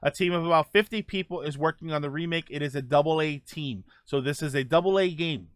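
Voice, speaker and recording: Brief: male gaming podcast host, slightly high voice, dry close sound, informal read-aloud commentary with offhand asides. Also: brisk, smooth, no room sound. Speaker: host of a video game podcast and livestream. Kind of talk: casual livestream commentary, reading out news notes.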